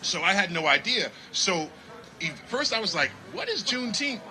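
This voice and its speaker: A man from Connecticut, a jazz musician talking in a casual festival interview with animated, preachy energy. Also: high voice, moderate pace, rough recording. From Connecticut, United States. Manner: animated, preachy, repeats "you know what I mean".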